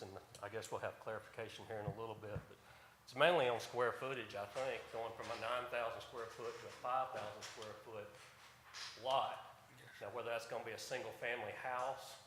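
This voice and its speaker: A middle-aged man with a Texan accent talks through his concerns in a council meeting, wandering and restarting as he goes. His voice sits at an ordinary pitch and rings in a roomy chamber. A faint hum of ambience lies underneath. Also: fairly bright tone. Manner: rambling, conversational, with frequent fillers and restarts. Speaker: council member. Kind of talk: casual public comment.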